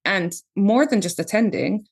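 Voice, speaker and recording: A woman's voice: mid-range voice, lively intonation, measured pace, vocal fry, breathy edge. A woman in her early forties from Palestine, co-hosting a podcast in a casual chat and swearing freely as she talks. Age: 40s. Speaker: podcast co-host.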